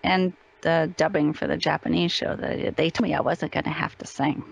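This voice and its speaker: Lady guest chatting casually in an interview, lots of vocal fry. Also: low-pitched, fairly even intonation, moderate pace.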